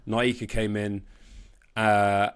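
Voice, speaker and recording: A man's voice: fairly low pitch, little pitch movement, slow pace, clear, resonant tone. A man who works as a boxing reporter, chatting casually about sport in an informal, slangy, sweary way. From born in Brisbane, Australia. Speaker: boxing reporter and podcast co-host.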